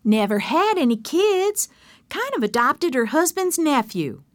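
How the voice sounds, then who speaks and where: A woman's voice: sits high, very animated, unhurried, no room sound, voice pushed up. A woman voicing a chatty, eager gossip who loves passing on news. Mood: gossipy.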